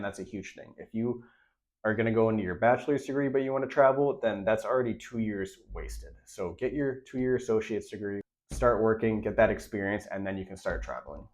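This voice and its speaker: Congested, nasal young man giving advice. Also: fairly low pitch. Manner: direct, emphatic, repeats key points. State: congested, nasal from a recent illness. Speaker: radiology tech creator giving career advice. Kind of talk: casual advice vlog to camera.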